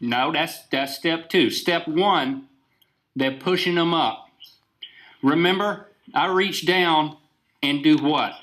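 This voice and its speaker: A man playing a high, bright, pushy magician. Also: takes it slowly. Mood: insistent. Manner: interjecting, insistent, quizzing. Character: pushy, deadly serious magician's partner, eager to be understood. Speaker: prank caller, show host. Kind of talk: improvised comic prank call.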